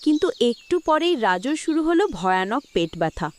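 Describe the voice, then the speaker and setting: High-voiced woman narrating a children's story. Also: very animated, normal speed, a little hiss or hum.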